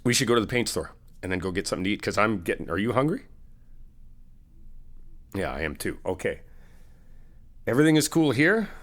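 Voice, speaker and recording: A middle-aged man in an ASMR friend roleplay, talking in an easygoing, reassuring, chatty way with soft laughs. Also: low voice. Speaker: ASMR roleplay creator playing a best friend.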